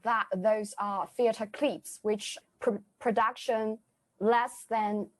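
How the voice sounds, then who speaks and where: A young woman from China speaking English with a Chinese accent, chatting as a student guest in a casual way, often halting and correcting herself. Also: fairly high pitch, steady tone. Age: young adult. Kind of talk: casual podcast conversation.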